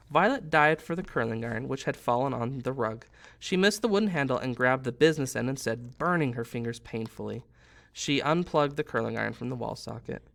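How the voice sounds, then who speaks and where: A man's voice: mid-range voice, vocal fry, a bit bright. A man in his thirties, a teacher, narrating a storybook aloud expressively.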